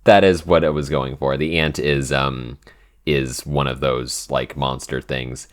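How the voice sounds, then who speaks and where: A man with an extremely low voice talks as a podcast co-host and writer. His delivery is informal and conversational, looping back over phrases and peppering them with "like" as he works through an answer. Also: fairly even intonation, unhurried. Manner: informal, circles back with frequent "like". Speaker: podcast writer and co-host reading listener questions. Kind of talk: casual Q&A chat.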